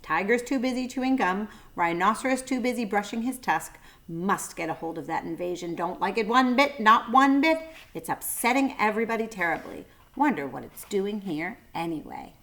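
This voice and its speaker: A woman's voice: mid-range voice, animated, normal speed, hardly any pauses, a bit bright. A woman voicing a suspicious, grumbling wild boar in a children's storybook. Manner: muttering in clipped, grumbling phrases.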